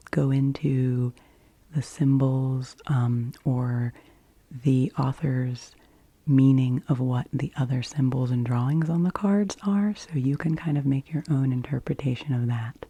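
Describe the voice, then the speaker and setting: A woman hosting ASMR in a soft, breathy voice that often drops to a whisper, speaking in a gentle, hushed, unhurried way. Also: a bass voice, a little hiss or hum. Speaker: ASMR video host.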